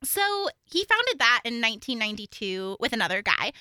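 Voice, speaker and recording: A young woman from Oklahoma with an American accent that occasionally slips into a Southern twang, chatting casually and gigglily as a podcast co-host in a high-pitched voice. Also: normal speed, smooth, no room sound, clean studio sound. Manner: giggly, animated, informal with frequent "like". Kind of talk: casual true-crime podcast chat.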